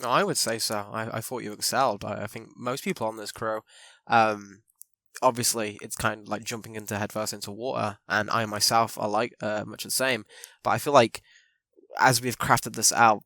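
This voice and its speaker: A man's voice: lowish voice, steady tone, normal speed, creaky, fairly bright tone, no echo. A man hosting a podcast interview in a chatty way, laughing often and using lots of fillers. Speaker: podcast host. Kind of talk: casual interview chat.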